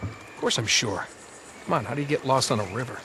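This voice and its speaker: A man's voice: medium pitch, a little fast, very noisy, close to an everyday voice. An actor playing a cocky, flippant adventurer in his thirties in a video game cutscene, quippy and sarcastic. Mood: confident.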